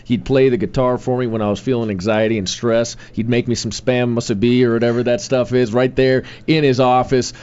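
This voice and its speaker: A man's voice: mid-range voice, flat delivery, a little fast, hardly any pauses, a little mellow, clear, resonant tone, lo-fi sound. A young man hosting sports talk, chatting casually with many fillers and restarts. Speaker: sports radio host.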